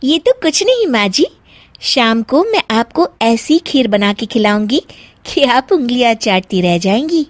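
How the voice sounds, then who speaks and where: A woman's voice: on the high side, very animated, measured pace, no room sound. A woman voicing a sweet, dutiful young daughter-in-law in a cartoon story, with a deferential manner. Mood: sweet, modest. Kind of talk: animated moral story acting.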